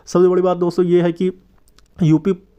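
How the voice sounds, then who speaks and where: A man's voice: high voice, little pitch movement, normal speed, mellow, smooth, highs cut off. A man giving an informal update to viewers as a channel host and tutor, explaining earnestly and wordily.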